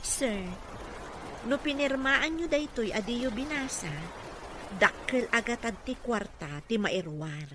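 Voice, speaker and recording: A woman's voice: fairly high pitch, expressive, slow. A woman with a Filipino accent playing a dutiful, caring caregiver in an Ilocano radio drama. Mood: respectful, encouraging. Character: dutiful, caring female caregiver and working mother. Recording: noisy ambient background.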